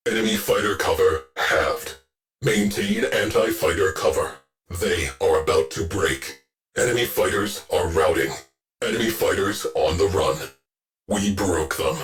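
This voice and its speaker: Male military ship computer, deep low register, unhurried pace, terse, stoic, deadpan, video game combat announcer. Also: soft background music. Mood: controlled, approving. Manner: clipped, deadpan, tactical delivery. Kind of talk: scripted video game voice lines.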